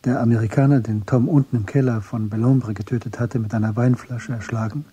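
A man narrating a literary radio drama from a script in a measured, understated way. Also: medium pitch, steady tone, dark tone, breathy edge, no room sound.

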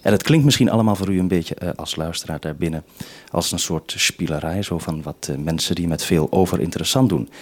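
A male radio presenter with a low voice talks in an explanatory way. He addresses listeners and interviews as he goes. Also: fairly quick. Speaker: radio host.

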